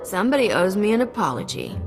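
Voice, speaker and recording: A woman's voice: mid-range voice, big pitch swings, fast, over music, natural voice. An actress playing a sardonic, self-assured female smuggler captain in a video game, wry and blunt. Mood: defiant.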